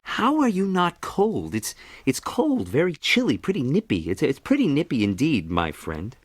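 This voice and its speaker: A man's voice: on the high side, wildly swooping pitch, normal speed. A man playing a wry, glib Greek messenger god for a game, with a sardonic, chatty, flippant manner. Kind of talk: theatrical game voice lines.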